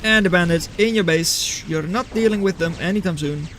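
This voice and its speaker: Male streamer, fairly high voice, dry live replay commentary, faint battle sounds behind. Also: normal speed, a bit bright, slight background noise. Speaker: game caster, streamer. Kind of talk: live esports-style replay commentary, chatting with viewers.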